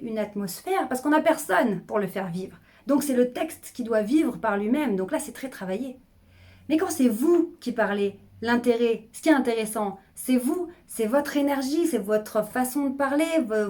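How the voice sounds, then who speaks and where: A middle-aged woman speaking as a public speaking coach, energetic and emphatic, often repeating phrases to drive a point home. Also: a little high. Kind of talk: coaching video monologue.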